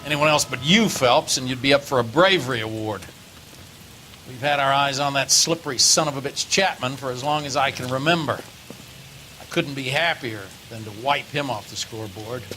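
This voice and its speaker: An actor playing a gruff, sardonic middle-aged American police captain from the 1940s, brisk and blunt. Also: male voice, mid-range voice, sharp tone, slight background noise, close to an everyday voice, poor audio. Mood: gruff approval. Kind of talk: dramatic video game acting.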